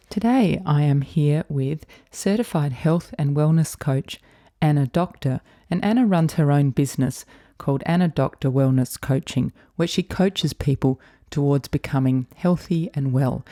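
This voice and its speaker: Low-voiced woman podcast host. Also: mellow, no echo, studio quality. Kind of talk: scripted intro then casual interview.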